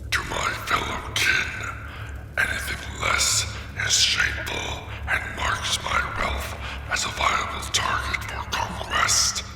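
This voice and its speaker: A man voicing a haughty, possessive ancient gold dragon in a formal, menacing, breathy growl for a fantasy ASMR roleplay. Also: lightly acted. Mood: haughty, boastful. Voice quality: very deep, gravelly, breathy growl. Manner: pompous, slow, menacing, formal. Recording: echoing cavern-like reverb, background music. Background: dragon lair ambience.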